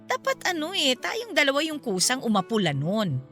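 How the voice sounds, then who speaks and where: A feisty middle-aged Filipino mother in a radio drama. Her voice is high and very bright, frank and scolding yet teasing, with light music underneath. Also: wildly swooping pitch, moderate pace, heavily acted. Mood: frank, advising.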